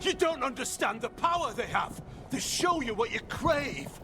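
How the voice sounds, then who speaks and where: A man's voice: very high voice, very animated, moderate pace, music in the background. A man playing a desperate, frightened villager in a fantasy game, haunted and overwrought. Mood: panicked, pleading. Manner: pleading, overwrought.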